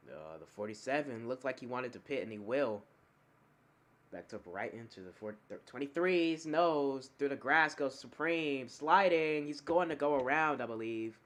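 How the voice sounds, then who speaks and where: A man giving play-by-play commentary on an online sim racing event, calling the on-track action quickly and correcting himself as he goes. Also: mid-range voice, expressive, a bit bright. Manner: rapid calls of on-track action, talking himself through corrections. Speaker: race commentator.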